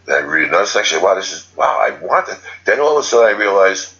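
A man's voice: sits low, sing-song, quick pace, sharp tone, muffled recording. A man giving an unscripted spiritual talk as a teacher, rambling and correcting himself as he goes. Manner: rambling, restarts and self-corrections.

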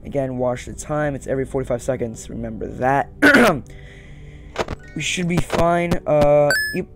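Young man muttering gameplay commentary, gravelly edge. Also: medium pitch, measured pace. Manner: muttering to himself, repeating phrases. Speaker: gaming video host. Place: indoor room, beside a plain wall. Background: game music.

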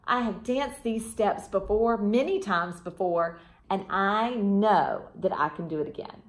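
A woman's voice: medium pitch, unhurried, natural voice. Woman voicing an anxious, earnest little ballerina girl. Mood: determined. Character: anxious young ballerina girl, nervous then determined.

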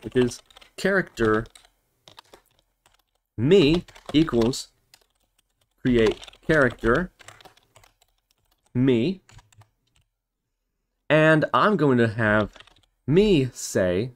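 A man's voice: medium pitch, expressive, very slow, long pauses, a little hiss or hum. A man hosting a casual programming tutorial, talking through each step as he types it. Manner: spells out steps as he types. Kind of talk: casual screen-recorded coding tutorial narration.

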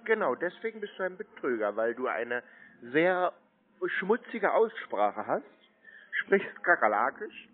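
A man's voice: on the high side, sing-song, measured pace. A man, taunting and insulting the other party in a phone argument. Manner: mocking, insulting, provocative. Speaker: scambaiter prank-calling phone scammers. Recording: muffled, lo-fi call audio.

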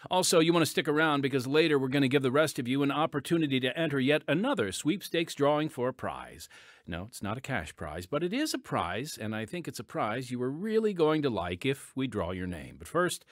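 A man's voice: mid-range voice, sing-song, fairly quick, dry recording, studio quality. A man hosting as announcer, reading dramatic scripted radio narration with folksy, emphatic showmanship. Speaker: announcer and host. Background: music.

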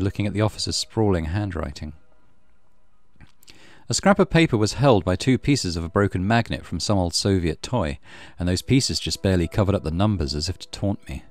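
A man reading a visual novel aloud as a let's play host, with expressive storytelling and casual commentary. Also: deep voice, fairly quick. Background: soft game music.

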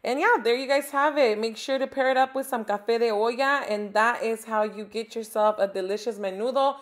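Upbeat young woman, bright cooking voice-over. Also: a little high, fairly quick. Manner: chatty and upbeat, sprinkles Spanish food words. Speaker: cooking channel host.

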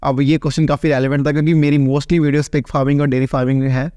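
A man's voice: on the high side, little pitch movement, very fast, mellow, dry recording, clean studio sound. A man in his thirties, a veterinarian, explaining to camera in a casual, conversational way and often checking in with "right?". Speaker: veterinarian answering viewer questions. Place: small plain indoor room, seated.

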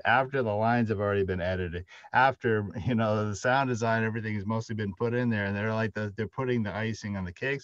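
Low-voiced man on a roomy video call. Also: lo-fi sound. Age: middle-aged. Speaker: panelist, audio drama writer. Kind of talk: unscripted panel discussion. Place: indoor room, video call.

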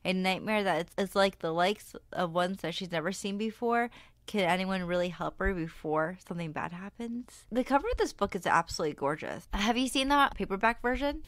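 A young woman from Vermont co-hosting a book podcast in casual chat, sounding stuffed up from a cold, chatty with quick asides. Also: mid-range voice, animated, no room sound. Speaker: podcast co-host.

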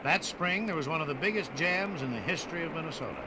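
A man's voice: on the high side, animated, fast, noisy background. An American man narrating a scripted 1950s radio documentary in a dramatic, folksy storytelling manner.

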